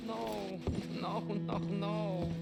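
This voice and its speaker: An actor playing a frightened, pleading immigrant debtor in a western video game, stammering in a Polish accent. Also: male voice, sits high, steady tone, extremely slow, gravelly, full character voice. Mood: anxious, pleading. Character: frightened, pleading male Silesian immigrant debtor with little English. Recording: music behind the dialogue.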